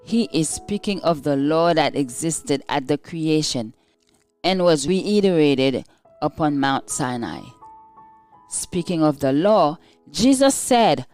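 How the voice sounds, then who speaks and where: A woman reading a scripted devotional in a measured, solemn manner. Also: lowish voice, a little mellow. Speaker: devotional reader.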